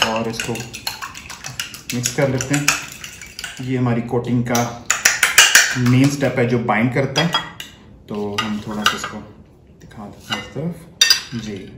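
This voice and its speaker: Man, 30s, chef; calm instructive demo delivery, mid-pitched, roomy kitchen sound. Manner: step-by-step instructive, speaks while working with hands. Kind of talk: cooking demonstration. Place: home kitchen, indoors. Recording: echoey kitchen, faint background noise.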